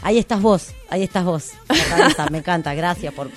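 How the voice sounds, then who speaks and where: A woman with a Rioplatense accent hosts a live radio show. Her voice sits a little low and her talk is slangy, playful and informal. Soft music plays behind her. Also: lively intonation, quick pace. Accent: Rioplatense Argentine. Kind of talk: casual live radio chat.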